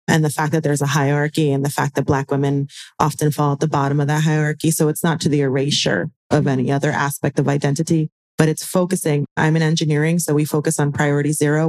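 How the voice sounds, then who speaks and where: Lower-voiced woman in her forties, american, emphatic and wry, panel guest. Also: a little mellow. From United States. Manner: emphatic, wry, repeats phrases for effect. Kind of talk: panel discussion.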